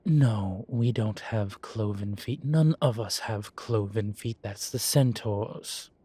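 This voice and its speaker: A man's voice: on the low side, slightly rough. A man playing a droll, proud, genteel demon professor who lectures a student in a scripted roleplay audio. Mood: amused, proud. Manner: unhurried, genteel, gently lecturing. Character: droll, proud, urbane male demon professor, centuries old. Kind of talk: scripted roleplay audio, one-sided conversation.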